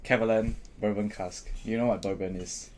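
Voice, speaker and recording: Low-pitched joking man over background noise. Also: fairly even intonation, normal speed. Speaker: talk show co-host. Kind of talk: casual chat.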